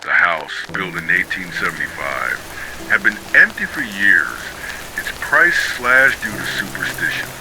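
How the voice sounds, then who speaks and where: A man narrating scripted ghost stories for sleep in a measured, dramatic storytelling manner. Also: deep voice, expressive, over music, poor audio.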